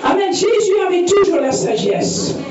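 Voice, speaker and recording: A woman pastor from the Netherlands with a Dutch accent, preaching a lively sermon with personal testimony and frequent amens, recorded from a distance in a church hall. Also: extremely high pitch, normal speed, highs cut off, crowd noise. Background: congregation answering amen.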